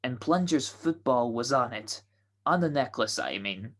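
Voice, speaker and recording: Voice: mid-range voice, expressive, measured pace. A performer playing a naive, muddled young would-be femme fatale in a radio detective drama. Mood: earnest, muddled. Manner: hesitant, muddled.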